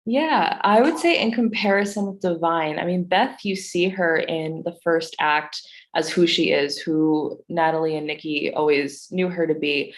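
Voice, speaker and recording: A woman's voice: sits low, measured pace. A woman actor speaking informally and reflectively about her craft in a question-and-answer session over a video call. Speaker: actor in a staged reading. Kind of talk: casual post-show Q&A.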